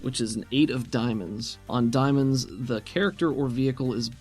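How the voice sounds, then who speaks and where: A man's voice: mid-range voice, moderate pace, darkish tone, soft background music. A man running a tabletop roleplaying game, explaining rules and narrating the action in an informal, conversational way. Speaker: game master.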